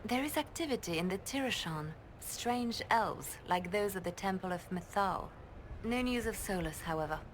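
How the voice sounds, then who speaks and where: An actress voices a gentle high priestess who once ran spies, in a fantasy game. Her mid-pitched voice is poised and reflective over slight background noise. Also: lively intonation, normal speed, lightly acted, rough recording. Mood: businesslike. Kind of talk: video game character dialogue.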